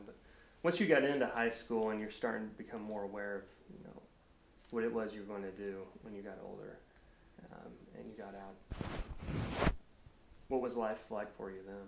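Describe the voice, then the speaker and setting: Man, low pitch, interviewer, halting conversational questions, echoey room, faint background noise. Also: vocal fry.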